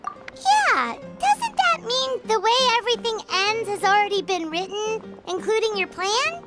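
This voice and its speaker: A tiny fairy sidekick in a video game. The voice is extremely high and squeaky, earnest and childlike, and it swoops up and down over prominent music. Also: female voice, big pitch swings, unhurried, exaggerated voice. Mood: inquisitive. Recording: music under the voice.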